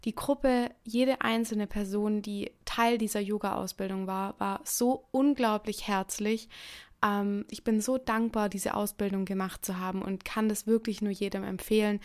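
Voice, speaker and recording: A woman's voice: medium pitch, no echo. A young woman hosting a solo podcast in her native German, speaking earnestly and reflectively with frequent "ähm" fillers. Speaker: podcast host. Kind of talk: casual solo podcast monologue.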